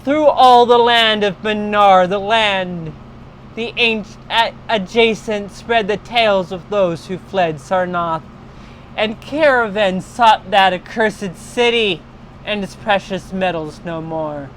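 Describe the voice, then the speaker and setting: A woman narrating a horror story in a theatrical, deliberate way, swelling in intensity as a livestream storyteller. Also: medium pitch, slight background noise, low-quality recording. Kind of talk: dramatic horror story reading.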